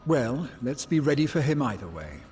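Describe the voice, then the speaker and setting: An actor playing a shrewd, wry, plain-spoken bounty hunter ally in a video game. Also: male voice, mid-range voice, sing-song, normal speed, darkish tone, faint music behind, lightly acted. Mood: businesslike.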